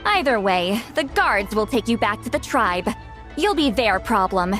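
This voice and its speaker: A woman's voice: medium pitch, very animated, measured pace, a bit bright, soft background music. A woman playing a self-assured young shaman in a video game, matter-of-fact and dryly wry, in a clean studio recording. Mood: cool, dry.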